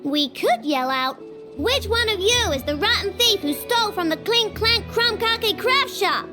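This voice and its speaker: A woman's voice: very high-pitched, lively intonation, unhurried. An earnest young girl inventor. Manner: earnest, eager, a little naive. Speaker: workshop owner. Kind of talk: video game voice acting. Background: game music.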